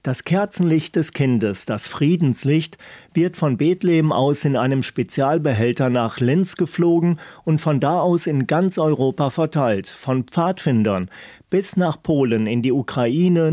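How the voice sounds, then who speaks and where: A man's voice: a little high, expressive, measured pace, warm tone, no room sound, clean studio sound. A man presenting a radio programme, reading scripted links and reports.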